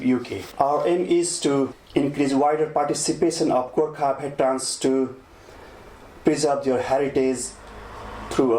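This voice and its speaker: A man's voice: medium pitch, darkish tone. A man, asking formal, prepared questions as an interviewer. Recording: echoey room, faint background noise.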